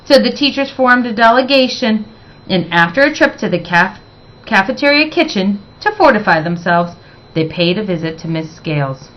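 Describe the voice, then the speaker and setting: A woman reading a picture book aloud in an expressive, deliberate way, stressing words for young listeners. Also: mid-range voice, dull audio. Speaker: children's story reader.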